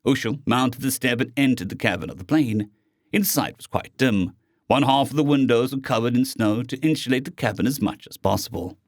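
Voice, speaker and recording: A man's voice: mid-range voice, dry recording, studio quality. A man reading a scripted science fiction story as its narrator.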